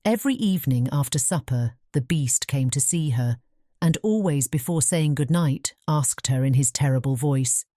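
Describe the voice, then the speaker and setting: A woman narrating a fairy tale audiobook in a measured bedtime storytelling manner. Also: extremely low pitch, mellow, dry recording, clean studio sound.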